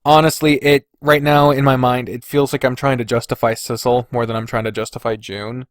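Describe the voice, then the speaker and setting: A man's voice: medium pitch, flat delivery, fairly quick, no echo, clean studio sound. A man hosting a casual podcast on his own, thinking aloud in a hesitant, deliberating way and swearing freely. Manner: hesitant and deliberating, sighing, profane. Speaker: podcast host.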